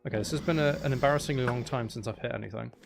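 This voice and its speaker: A man doing live, casual video game commentary as a streamer, animated and given to exclaiming and playful complaints. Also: medium pitch, rapid-fire, gravelly, music in the background.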